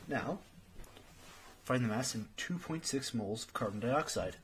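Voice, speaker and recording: Guy acting as ur patient young study buddy, asmr roleplay, talks slow n kinda hesitant, bit of background noise. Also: mid-range voice, expressive, natural voice. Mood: encouraging, explaining. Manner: hesitant, thinking aloud while working. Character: friendly, patient young male friend tutoring chemistry. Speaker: study partner. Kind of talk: ASMR roleplay, soft-spoken tutoring.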